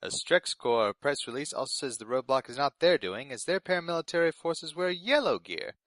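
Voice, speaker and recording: Young male radio intern, played by a man: polite, eager, nervous, lighter pitch, fiction podcast newscast, dry sound. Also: animated, moderate pace, a bit bright, no room sound. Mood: earnest, tentative. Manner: polite, earnest delivery of absurd news. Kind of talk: scripted fiction podcast in community radio broadcast manner.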